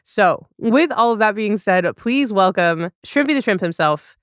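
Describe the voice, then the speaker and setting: A woman's voice: medium pitch, expressive, measured pace, clear, resonant tone, no room sound, studio quality. A woman hosting a casual, chatty interview, with effusive reactions and rambling asides. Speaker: podcast host.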